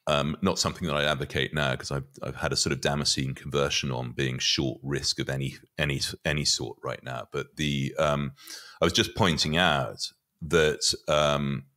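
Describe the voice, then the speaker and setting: A middle-aged man giving conversational market commentary as a guest, often halting and repeating words as he thinks. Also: extremely low pitch, fairly even intonation, sharp tone. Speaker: podcast guest, finance commentator. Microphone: podcast mic on boom arm.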